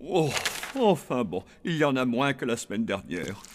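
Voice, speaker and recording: A man's voice: mid-range voice, very animated, normal speed, noisy background, lightly acted. A male actor voicing an anxious, grumbling zombie husband with a hesitant, muttering, theatrical delivery in a cartoon. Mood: weary grumbling. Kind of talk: French cartoon dub acting.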